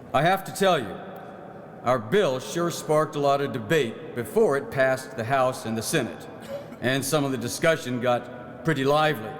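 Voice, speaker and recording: An actor playing a proud, folksy 1909 farm-minded legislator who reminisces in a plain-spoken theatrical monologue. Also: male voice, mid-range voice, natural voice. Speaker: actor in historical re-enactment. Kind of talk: theatrical first-person historical monologue. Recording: echoey hall, clear background noise.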